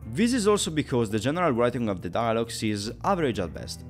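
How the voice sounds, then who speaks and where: A man's voice: mid-range voice, sing-song, quick pace, faint music behind. A man narrating a scripted video game review in an analytical, opinionated and wordy manner.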